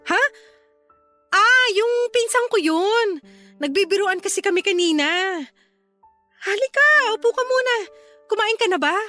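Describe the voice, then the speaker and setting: A young woman running a grocery, her voice high and expressive. She is evasive and quick to defend herself, in radio drama style, with faint music behind her. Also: exaggerated voice. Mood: flustered, placating. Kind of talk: Tagalog radio drama acting.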